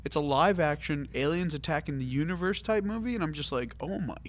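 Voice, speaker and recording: A man in casual, opinionated conversation as a podcast host, animated and swearing freely as he acts out reactions. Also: a little high, a little fast. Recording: clear background noise.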